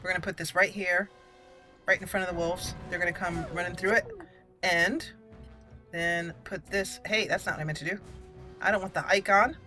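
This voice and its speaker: Bright-voiced woman chatting through a playthrough. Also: fairly low pitch, moderate pace, long pauses. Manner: excitable, playful, chatty. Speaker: gaming channel host. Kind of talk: casual let's play commentary. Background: game music under the voice.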